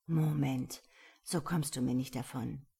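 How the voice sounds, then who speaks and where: A woman voicing a commanding, possessive and self-assured male seducer in a romance audiobook, low, hushed and menacing. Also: fairly even intonation, measured pace, gravelly, slightly rough, heavily acted. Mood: insistent, threatening. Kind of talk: romance audiobook character dialogue.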